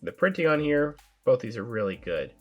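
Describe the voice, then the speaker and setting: A man hosting a casual toy review, his voice raspy from a sinus infection, talking in a relaxed, rambling way with filler words. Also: medium pitch, moderate pace, muffled recording, faint music behind. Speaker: toy reviewer, channel host.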